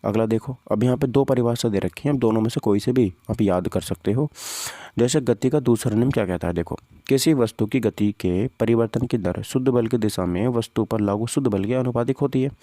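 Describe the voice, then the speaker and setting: A man's voice: medium pitch, fairly quick, dark tone, dry recording, studio quality. A man teaching an informal physics exam-prep class, conversationally repeating points and posing questions to students. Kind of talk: informal exam-prep lesson, walking through questions and answers.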